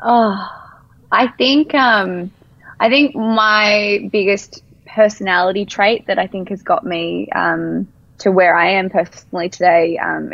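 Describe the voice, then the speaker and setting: A woman's voice: fairly low pitch, gravelly, dry recording, highs cut off. A woman, a company co-founder speaking casually as a podcast guest, with many ums. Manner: relaxed, many ums, laughs easily.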